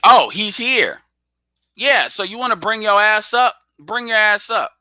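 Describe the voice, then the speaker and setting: Guy hosting a live stream, casual and cussing, bright tense voice. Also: high-pitched, expressive, measured pace, no room sound, studio quality. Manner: blunt, profane, talks straight at listeners. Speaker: host of a live audio stream.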